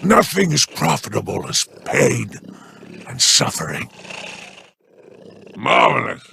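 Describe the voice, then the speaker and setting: A sly alien crime boss from a video game, with a deeply gravelly, growling voice. He slides widely up and down as he trades in mock courtesy and unhurried menace. There is a faint noise behind him. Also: sing-song, heavily acted. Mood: smug, menacing. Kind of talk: video game character voice lines.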